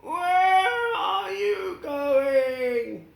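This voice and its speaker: A storyteller voicing a friendly, eager male scarecrow in a storybook, calling out in a high, drawn-out voice. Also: big pitch swings, creaky, reverberant, full character voice.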